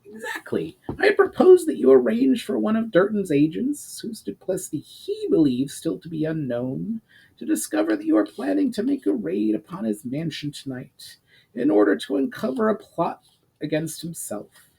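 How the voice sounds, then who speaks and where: A man's voice: sits high, wildly swooping pitch, moderate pace, creaky, warm tone, breathy edge, voice pushed up, full character voice, poor audio. A man voicing a shrewd, scheming goblin strategist with an eager, calculating manner and formal diction, in a storybook reading.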